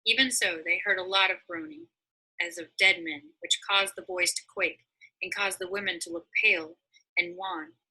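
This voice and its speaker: A woman reading a storybook aloud as narrator, in a dramatic, expressive manner. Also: fairly low pitch, moderate pace, slightly rough, rough recording. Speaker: story reader.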